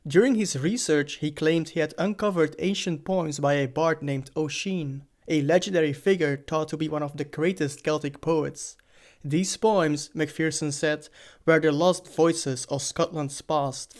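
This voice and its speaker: A man hosting a channel, giving a scripted explainer narration in a measured, even storytelling manner. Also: fairly high pitch. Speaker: host. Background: faint background music.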